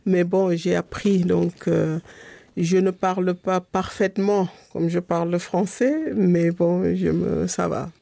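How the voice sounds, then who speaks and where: A middle-aged woman from Senegal, a Pulaar speaker answering radio interview questions in a measured, thoughtful way with pauses. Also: on the low side, steady tone, gravelly, dark tone, no echo. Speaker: guest.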